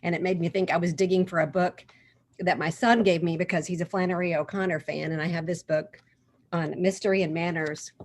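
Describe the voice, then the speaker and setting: Low-voiced woman musing on a video call. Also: fairly even intonation, brisk. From Oklahoma, USA. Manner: thoughtful, with restarts and "um" fillers. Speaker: reader taking part in a book discussion. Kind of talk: conversational discussion with a passage read aloud. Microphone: computer mic on a video call.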